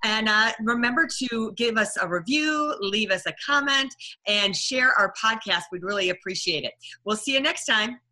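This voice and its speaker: Bright-voiced woman hosting a podcast. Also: on the high side, expressive, normal speed. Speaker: podcast host, book publisher.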